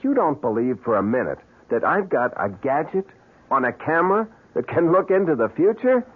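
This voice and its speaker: An old radio drama with a narrow, muffled sound carries a stubborn American everyman. His male voice is husky and very lively in pitch, with a brash, argumentative delivery. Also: moderate pace. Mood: exasperated, protesting. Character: stubborn, quick-tongued male photographer, an everyman hero.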